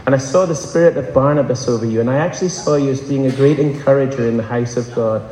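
A man's voice: medium pitch, fast, mellow, slight background noise. Impassioned preacher man in echoey hall. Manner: impassioned, asks the congregation rhetorical questions. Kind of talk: sermon. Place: large church hall. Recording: echoey church hall with long reverb.

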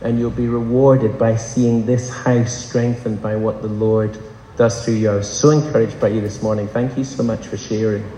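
A man's voice: on the low side, level tone, moderate pace, dark tone, slight background noise. Impassioned preacher man in echoey hall. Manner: impassioned, asks the congregation rhetorical questions. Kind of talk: sermon. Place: large church hall. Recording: echoey church hall with long reverb.

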